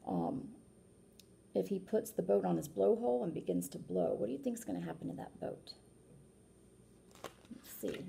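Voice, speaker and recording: A woman reading a children's picture book aloud like a teacher, animated, with explanatory asides and questions to her listeners. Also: mid-range voice, creaky.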